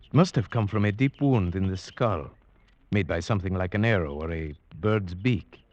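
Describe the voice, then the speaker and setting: An actor playing a calm, analytical investigator, speaking in a measured, thoughtful way in 1940s radio drama style. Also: male voice, sits low, no echo, natural voice. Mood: grave, puzzled. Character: calm, analytical male investigator narrating his tale. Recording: dull vintage radio recording with music.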